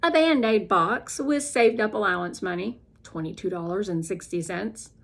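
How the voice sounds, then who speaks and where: A woman narrating a children's novel as an expressive, deliberate read-aloud. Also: mid-range voice, creaky.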